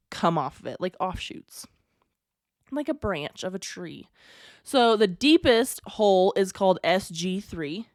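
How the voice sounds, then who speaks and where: A woman's voice: medium pitch, dry recording, clean studio sound. A woman hosting a casual storytelling podcast, talking in a chatty, digressive way with wry asides. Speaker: podcast host.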